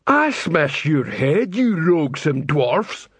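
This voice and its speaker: A belligerent troll guard from a fantasy audiobook. He speaks in slow, halting threats with broken grammar, pitched a little up and very close and dry. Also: big pitch swings, fairly bright tone, husky, no room sound, exaggerated voice. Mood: threatening. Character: slow, belligerent troll constable spoiling for a fight.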